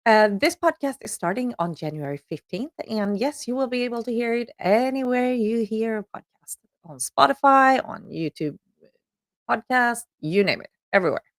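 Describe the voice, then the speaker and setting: A woman's voice: a little high, expressive, moderate pace, dry recording, clean studio sound. A middle-aged woman from Sweden with a Swedish accent, hosting a podcast and chatting upbeat with frequent ums. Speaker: podcast host. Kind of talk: solo promotional announcement.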